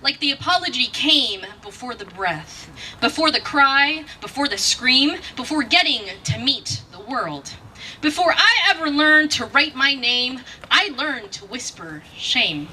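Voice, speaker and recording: Bright-voiced woman performing spoken word, distant mic. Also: high voice, expressive, measured pace. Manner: rhythmic, emphatic, dramatic delivery with repeated phrases. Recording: distant mic with faint background noise.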